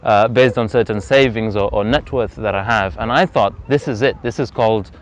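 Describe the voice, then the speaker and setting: A man, telling his personal story as an interview guest outdoors in earnest, reflective, measured speech. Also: lowish voice. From Zambia. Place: outdoors on a freezing day. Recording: slightly dull lo-fi outdoor recording.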